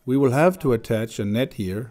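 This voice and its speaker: A man reading a documentary voice-over in a calm, measured way. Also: mid-range voice. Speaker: voice-over interpreter.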